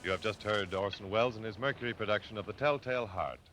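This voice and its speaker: A man's voice: fairly low pitch, fast, fairly bright tone. A man working as a polished radio announcer, delivering a salesmanlike 1940s sponsor message. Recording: dull vintage radio recording, faint noise.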